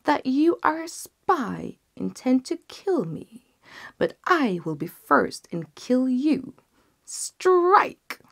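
A furious old king from a fairy tale, put on by a woman reading aloud. He climbs high and loud, drags out his orders, and swings his pitch widely with a rough, gravelly edge. He sounds like a storybook ruler who will hear no argument. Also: wildly swooping pitch, slow, full character voice. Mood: wrathful. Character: angry gullible old king, male.

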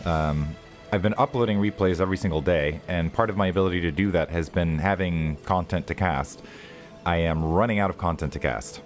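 Low, hoarse man casting a match. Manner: chatty, rambling asides between calls. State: hoarse from a sore throat. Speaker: game caster. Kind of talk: casual esports play-by-play commentary. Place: home room, daughter nearby.

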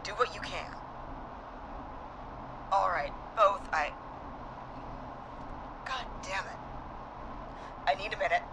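An actress playing a harried, determined small-town police officer over a radio in a video game. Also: female voice, medium pitch, slow, long pauses. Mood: urgent. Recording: noisy radio transmission from a vehicle.

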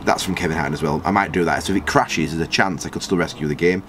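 A man's voice: a bit bright, a little hiss or hum. A man, casually commenting on a football management game and reading out viewer comments in a small storeroom. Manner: chatty, rambling, quick jumps in pitch when surprised. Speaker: gaming channel host.

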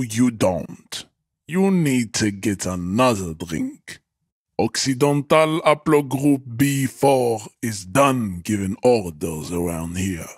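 Huge pompous supremacist strongman from a video game, contemptuous, slow n husky, swoops around in pitch. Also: dry recording, clean studio sound, exaggerated voice. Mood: contemptuous, commanding. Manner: pompous, slow declamatory delivery. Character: towering pompous pseudo-scientific racist strongman, contemptuous and grandiose. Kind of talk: video game character acting.

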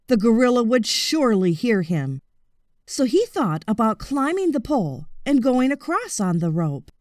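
A woman narrating a children's audiobook in an expressive, measured storytelling manner. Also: fairly high pitch, no room sound, studio quality.

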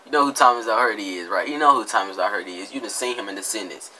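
A man's voice: lowish voice, very fast, pushed and bright, a little hiss or hum, poor audio. A young American man giving casual, slangy comedic commentary, mocking and exasperated, often repeating himself. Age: young adult. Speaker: reaction video host. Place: indoor room with green screen.

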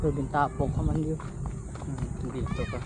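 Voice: medium pitch, fairly even intonation, unhurried. A vlogger chatting casually while walking around outdoor resort grounds, pointing things out along the way. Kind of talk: casual walking tour chat. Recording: loud outdoor noise and music.

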